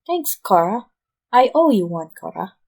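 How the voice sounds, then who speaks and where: A woman's voice: mid-range voice, very animated, measured pace, vocal fry, darkish tone, exaggerated voice. A woman voicing a hapless man casually asking a favour in a fan fiction reading. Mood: hopeful, grateful.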